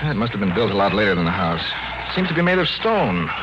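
Curious american husband in an old radio horror show, lively low voice, muffled hissy sound. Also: male voice, fast talker. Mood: breezy, curious. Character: Bill, curious, skeptical married man and lecturer. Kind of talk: 1940s-50s radio horror drama acting. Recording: muffled, noisy old-time radio recording.

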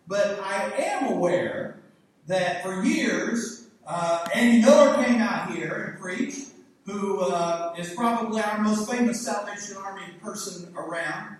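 A man's voice: high-pitched, animated, a little slow. A man in his sixties with a Southern US accent, preaching a sermon in a folksy, joking, rambling way. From Southern United States. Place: church hall. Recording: echoey hall mic from far off.

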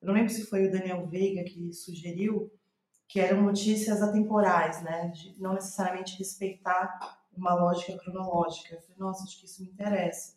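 A woman's voice: lowish voice, fairly even intonation, a little fast, a little mellow. Brazilian woman reflecting in a roomy echo. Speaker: guest playwright. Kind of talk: reflective interview conversation. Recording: roomy, echoing voice recording.

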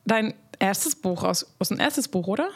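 A woman's voice: medium pitch, lively intonation, moderate pace, husky, dry recording. A young woman hosting a casual podcast over a video call. She is sick, her voice strained, and she talks in a bubbly, gushing, chatty way. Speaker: podcast host.

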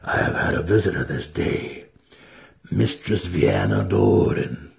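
A man voicing a nervous, deferential male dark elf wizard in a fantasy audiobook, speaking cautiously and hesitantly. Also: low-pitched, very animated, unhurried, vocal fry, full character voice. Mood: uneasy.

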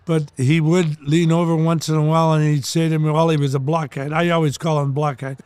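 A man in his eighties, a retired hockey player, telling gruff, joking stories in casual chat on a podcast. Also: fairly high pitch, little pitch movement, brisk, darkish tone, no room sound. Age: 80s.

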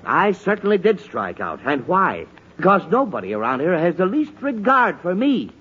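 A man's voice: sits high, wildly swooping pitch, normal speed, fairly bright tone, breathy edge, exaggerated voice. An actor playing a flustered, blustering middle-aged father in a 1940s radio comedy, stammering with indignation. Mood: exasperated. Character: exasperated, blustering suburban father. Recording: muffled, noisy 1940s radio recording.

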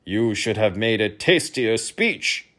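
Man playing a loud, pompous, irritable king. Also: on the low side, very animated, slow pace, full character voice. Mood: grand, disapproving. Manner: commanding, grunting, snapping.

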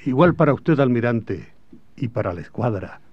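A man's voice: medium pitch, lively intonation, normal speed, no echo, close to an everyday voice. Man acting commander, radio drama, restrained, formal, respectful, mid-range voice, muffled old recording. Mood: quietly resolute. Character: resolute, respectful male naval commander.

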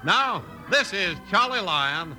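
A man announcing a 1950s radio program, with an upbeat, booming sign-off. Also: big pitch swings, takes it slowly, sharp tone, soft background music.

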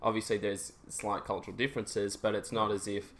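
A young man hosting a casual interview, easygoing and halting with plenty of fillers. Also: fairly low pitch, level tone, a bit bright, a little hiss or hum. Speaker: podcast host.

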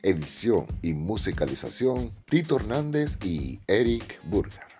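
A man's voice: lowish voice, sing-song, a little slow, darkish tone, faint music behind. A man reading cast credits as a radio announcer in a scripted style.

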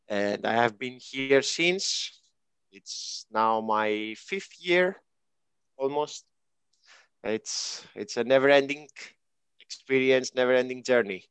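A man in his twenties from Greece, a guest talking through his life story in a relaxed, reflective way, with pauses and fillers. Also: medium pitch, clean tone, dry recording. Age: 20s. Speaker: interview guest. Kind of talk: casual conversation.

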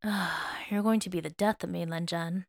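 A woman's voice: on the low side, steady tone, normal speed, gravelly. A woman voicing a young emperor in an audiobook, a tender and impulsive lover with a pouty, groaning, urgent way of talking. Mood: groaning, amorous.

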